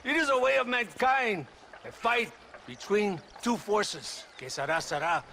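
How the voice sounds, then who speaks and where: A menacing Mexican military tyrant, speaking in a high, bright voice that swings widely. He is pompous, theatrical and sneering, with a Mexican accent and faint noise behind him. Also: animated, measured pace, full character voice. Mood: grandiose. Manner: theatrical, sneering, mixes Spanish into English. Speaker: video game character actor. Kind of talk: dramatic game cutscene acting.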